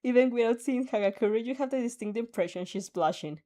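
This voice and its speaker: Young woman reading fanfic aloud, giggly, high-ish and mellow. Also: fairly quick. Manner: giggly, laughs often while reading. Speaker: fan audio reader. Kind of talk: reading a fan story aloud with reactions.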